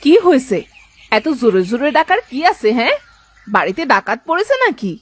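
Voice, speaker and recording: A sharp-tongued village wife from a cartoon, played by a woman, high in pitch and swooping widely. She sounds scolding and incredulous, forever questioning her husband. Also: big pitch swings, fairly quick, husky. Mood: scolding, suspicious. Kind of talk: cartoon moral-tale dialogue.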